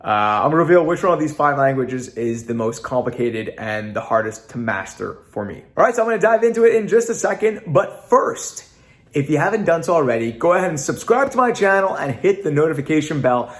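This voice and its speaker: A man's voice: on the high side, very animated, fairly quick. A young Canadian man with a North American accent, a native Italian speaker, talking earnestly to camera in a casual vlog with lots of "uh" fillers. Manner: earnest, repetitive, frequent "uh" fillers. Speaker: vlogger.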